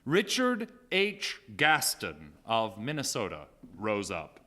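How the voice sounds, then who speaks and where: A man acting as a grave storyteller, narrating theatrically in a live radio drama with measured delivery. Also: on the low side, wildly swooping pitch, bright, forceful tone, heavily acted. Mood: grave, ominous. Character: grave male storyteller recounting a snowbound train disaster. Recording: live theater hall, long reverb, music.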